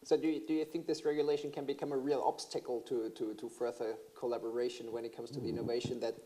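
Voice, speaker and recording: Mellow-voiced panel moderator. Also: mid-range voice, flat delivery, quick pace. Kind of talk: panel discussion.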